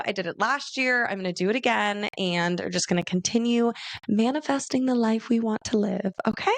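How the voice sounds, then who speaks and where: Raspy chatty woman, gravelly. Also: medium pitch, no room sound. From Arizona, USA. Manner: chatty, laughs often, addresses listeners as girl. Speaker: podcast host. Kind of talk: casual solo podcast chat.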